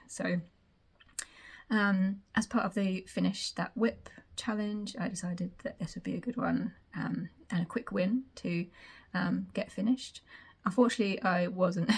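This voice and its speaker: Croaky, low-voiced woman vlogger. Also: darkish tone. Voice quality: croaky morning voice. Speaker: sewing vlog host. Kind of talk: casual chat to camera.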